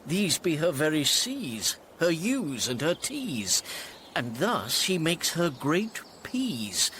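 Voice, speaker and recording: Pompous deluded steward in radio shakespeare, savours every word, highish voice, really drawn out. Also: male voice, very animated, slow, fairly bright tone, a little hiss or hum, heavily acted. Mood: excited, conspiratorial. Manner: pompous, savouring, theatrical delivery. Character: pompous, self-deluded male steward lost in grandiose fantasies. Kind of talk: Shakespearean radio comedy acting.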